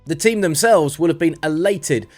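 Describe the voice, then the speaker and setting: A man's voice: on the high side, moderate pace, darkish tone, faint music behind. A man presenting motorsport news as a scripted narration.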